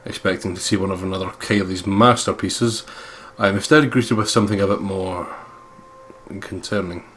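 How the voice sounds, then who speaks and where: A man's voice: low voice, fairly even intonation, moderate pace, fairly bright tone, slight background noise. A young man hosting a let's play, reading a visual novel aloud with playful, sweary commentary.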